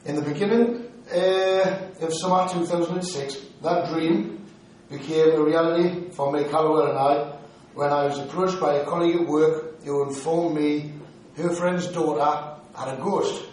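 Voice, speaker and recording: A man's voice: on the high side, fairly even intonation, normal speed, a little mellow, distant mic, a little hiss or hum. A man from Newcastle with a strong Geordie accent, giving a prepared talk on a paranormal case to an audience. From Newcastle upon Tyne, England. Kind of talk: lecture to an audience. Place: lecture hall. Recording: very echoey hall, recorded from afar.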